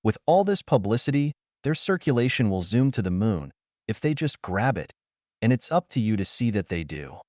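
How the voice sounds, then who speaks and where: A man voicing a sharp-tongued, cool young secretary in an audiobook, with curt, acid retorts. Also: fairly low pitch, big pitch swings, moderate pace, dry recording, clean studio sound. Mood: cool, brisk. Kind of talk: audiobook character dialogue.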